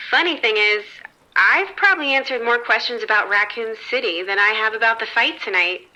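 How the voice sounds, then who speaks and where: An upbeat female casino floor manager, played by an actress in a radio drama. Her voice is fairly high and very bright. She talks in a chatty, unruffled, matter-of-fact way. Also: poor audio. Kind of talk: scripted horror audio drama radio exchange.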